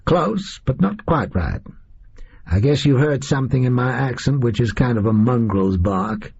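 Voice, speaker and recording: A man's voice: medium pitch, lively intonation, measured pace, creaky, darkish tone, a little hiss or hum, pitched above the performer's own voice. A man voicing a tough, sardonic gunman who drawls in casual slang. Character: tough American male gunman, blunt and sardonic. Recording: muffled lo-fi recording.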